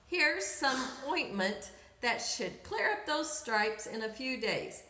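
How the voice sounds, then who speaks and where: A woman voicing a puzzled, brisk, bumbling male family doctor from a children's storybook, with emphatic delivery. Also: sits high, sing-song, sharp tone, slight background noise, heavily acted. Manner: exclaiming, mumbling, listing symptoms emphatically. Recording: echoey room.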